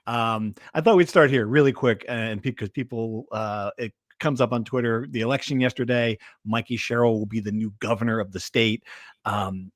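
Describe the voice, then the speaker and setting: A man hosts a casual sports podcast with a mid-pitched voice. He restarts and rephrases as he goes, punching key words. The sound is close and dry. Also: moderate pace, dry recording.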